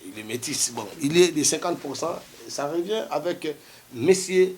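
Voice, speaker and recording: A man's voice: on the high side, animated, normal speed. A man, a studio guest arguing his point on a TV talk show, insisting and repeating himself to keep the floor. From Democratic Republic of the Congo. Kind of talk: heated debate on a TV talk show.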